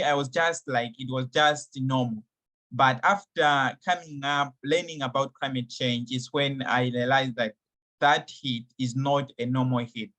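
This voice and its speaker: Slow, halting young man from Malawi. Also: mid-range voice, fairly bright tone, poor audio. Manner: halting, many fillers and restarts. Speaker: climate activist guest. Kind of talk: online interview answers.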